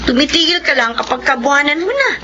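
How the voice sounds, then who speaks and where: A woman's voice: high voice, sing-song, fast, noisy background. An actress playing a practical, headstrong young working mother with a Filipino accent in a radio drama. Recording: dull radio recording, crowd chatter behind.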